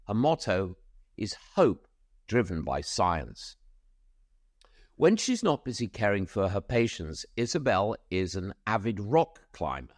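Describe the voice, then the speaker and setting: A man's voice: lowish voice, very animated, a little slow, dry recording, clean studio sound. A man hosting a medical podcast, reading a formal guest introduction and then chatting with many small hesitations. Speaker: podcast host.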